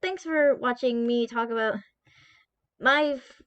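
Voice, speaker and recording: Woman from Texas, casual vlog host, rambling, profane, slightly high voice, dry close mic. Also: slow pace, a bit bright, smooth, dry recording, dull audio. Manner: rambling, profane, self-interrupting. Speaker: channel host. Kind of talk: casual chat.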